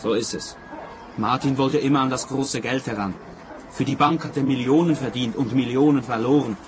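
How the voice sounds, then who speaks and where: Guy as a small-time machine fiddler, terse, average pitch, noisy outdoor sound behind him. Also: moderate pace, close to an everyday voice. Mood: resigned. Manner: terse, matter-of-fact. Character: laconic, unambitious small-time male machine tinkerer and fiddler. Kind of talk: radio play acting. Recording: clear outdoor background noise.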